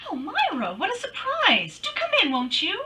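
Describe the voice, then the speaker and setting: Female radio-drama detective woman acting: witty, teasing, very lively high voice, recorded at a distance. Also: normal speed, a crowd in the background, full character voice. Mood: friendly, gushing. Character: witty, feisty woman private detective narrating her case.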